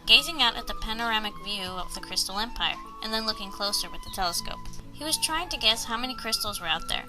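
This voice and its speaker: A woman's voice: medium pitch, low-quality recording. A woman reading a children's story aloud as scripted narration. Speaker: storybook reader. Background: background music.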